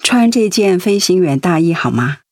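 An actress playing a devoted, anxious wife in a radio drama, speaking tenderly and earnestly with feeling. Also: female voice, on the low side, sing-song, normal speed, no room sound, clean studio sound. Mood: caring. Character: devoted, anxious wife caring for her sick husband.

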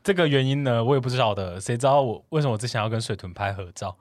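A man with a medium-pitched voice and a Taiwanese Mandarin accent, co-hosting a podcast. He talks in loose, playful, self-mocking banter, recorded dry and close. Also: lively intonation, rapid-fire, no room sound. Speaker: podcast co-host. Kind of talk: casual chat.

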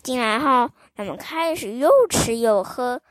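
Slow, lively woman telling fairy tales. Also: fairly high pitch, noisy background. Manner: slow, expressive, sing-song storytelling. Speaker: storyteller. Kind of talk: children's fairy tale reading.

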